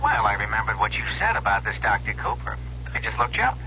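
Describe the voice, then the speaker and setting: Young swindler, muffled radio drama. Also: male voice, fairly low pitch, sing-song, quick pace, bright, forceful tone, full character voice. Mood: eager, ingratiating. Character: smooth-talking young male small-time swindler, feigning boyish shyness. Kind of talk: old-time radio drama acting. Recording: muffled old radio recording, faint hum.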